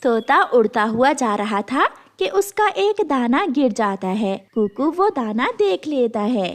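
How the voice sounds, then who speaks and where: A woman's voice: a little high, big pitch swings, unhurried. A woman reading scripted narration for a children's cartoon story.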